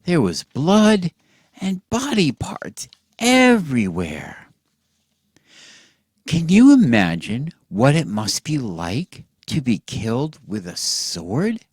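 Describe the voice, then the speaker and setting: A man telling a satirical bedtime story as if to children, in a theatrical, mock-earnest way with drawn-out words and hushed drops. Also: medium pitch, wildly swooping pitch, slightly rough, dry recording, studio quality.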